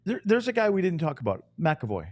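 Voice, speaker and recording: A man co-hosting a sports show as an analyst, chatting casually and haltingly as he thinks aloud. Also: fairly high pitch, wildly swooping pitch, darkish tone, no echo.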